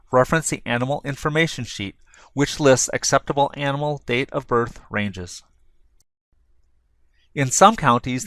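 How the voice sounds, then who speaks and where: A man's voice: mid-range voice, a bit bright, no room sound. Man narrating a training video, dry studio sound. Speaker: instructional narrator.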